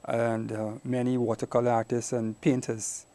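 A middle-aged man with a Guyanese Caribbean accent answers as an interview guest. His voice sits at a middle pitch with plenty of gravelly creak, and he speaks reflectively. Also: little pitch movement, normal speed, warm tone. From Guyana, later Trinidad and Tobago. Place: small indoor TV studio. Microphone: lapel mic.

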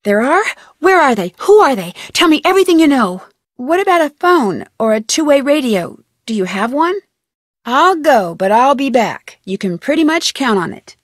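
Young girl detective for a video game, high voice, nosy but polite, very dry recording. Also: sing-song, moderate pace, no echo. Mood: inquisitive. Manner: polite, earnest, crisply questioning. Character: inquisitive, persistent young female amateur detective. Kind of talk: video game dialogue voice acting.

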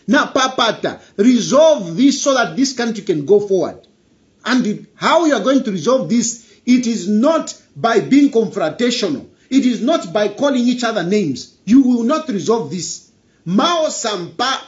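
A man's voice: very high-pitched, a little mellow. Man, Zambian accent, young adult, emphatic political commentary, repeats himself, dull lo-fi webcam sound. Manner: emphatic, repeats key phrases, mixes in local language. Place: indoor room.

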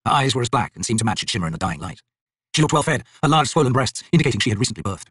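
A man reading a fantasy audiobook in a theatrical, solemn, expressive manner. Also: mid-range voice, rapid-fire, slightly rough, no room sound, clean studio sound. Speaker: audiobook narrator, actor. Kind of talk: dramatic scripted fantasy audiobook narration.

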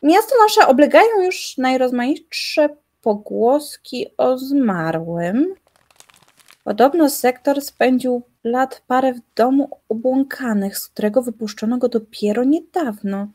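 An actress playing a young woman who reads out grim newspaper notices in a deliberate, dramatic way. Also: high-pitched, animated, a little mellow, exaggerated voice. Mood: solemn, intrigued.

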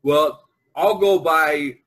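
A middle-aged man hosting a casual group chat over an online call, talking in a rambling, halting way and repeating himself. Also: fairly high pitch, a bit bright. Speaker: podcast host. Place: online video conference call.

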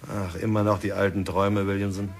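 A man's voice: deep voice, flat delivery, a little fast, creaky. An actor playing a persuasive male envoy from a diamond company in an old radio play. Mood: coaxing. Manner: persuasive, coaxing. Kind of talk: 1960s radio drama acting.

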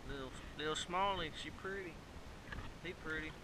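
High-voiced man, folksy informal chat, outdoor river, hissy action camera with clear water noise. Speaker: kayak angler vlogger. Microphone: action camera worn on body. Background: rushing water and hiss.

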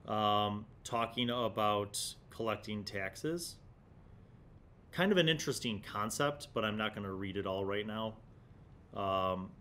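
A middle-aged man gives casual, conversational commentary as host of a gaming review series, thinking aloud. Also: a bit bright. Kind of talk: casual commentary while leafing through a magazine, reading bits aloud. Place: small indoor home studio. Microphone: desk condenser microphone in front of him. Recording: clear low background rumble noise.

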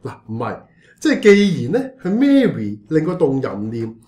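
A man's voice: medium pitch, wildly swooping pitch, normal speed, mellow, reverberant. A man playing a preachy, scheming church pastor in a comedic radio drama, theatrical and exclaiming, with a Hong Kong Cantonese accent. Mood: scheming, insistent. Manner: theatrical, exclaiming, mock-righteous.

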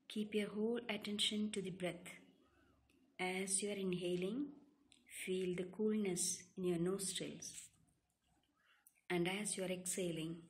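A woman leads a guided relaxation meditation, giving calm, soothing instructions in short, separated phrases. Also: lowish voice. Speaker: yoga nidra meditation guide.

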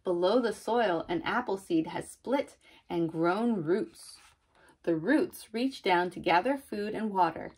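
Young female storyteller, husky tone, reads picture books expressively to little listeners. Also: mid-range voice, slow pace. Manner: expressive, child-directed, asking listeners questions. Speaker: mother reading a children's book aloud. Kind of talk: read-aloud picture book storytelling.

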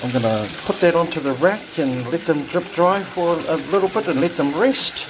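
A man casually narrating his home cooking, relaxed and chatty with frequent ums, filmed on a phone over the stove. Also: on the high side, moderate pace. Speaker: home cook vlogger. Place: home kitchen. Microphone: phone camera held over the stove. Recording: noisy home kitchen phone recording. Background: sizzling and bubbling pots.

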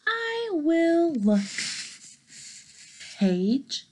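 A young woman reading a children's picture book aloud in an expressive, dramatic and playful manner. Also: extremely high pitch, extremely slow, background noise. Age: young adult. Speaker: storybook reader. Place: small bedroom, indoors.

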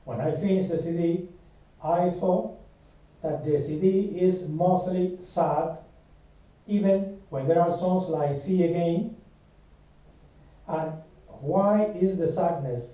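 A man's voice: on the high side, slow pace, long pauses, mellow, heavy reverb, recorded from a distance, rough recording. A man whose first language is Spanish, asking interview questions with careful, non-native phrasing.

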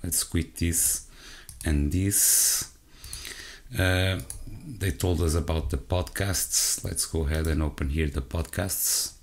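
Man, Portuguese accent, English as a second language, tech walkthrough, hesitant, lots of fillers, talks to viewers, deep voice. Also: steady tone, slow pace. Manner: hesitant, frequent fillers and self-corrections; addresses viewers directly. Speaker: tech channel host. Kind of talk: screen-recorded software walkthrough.

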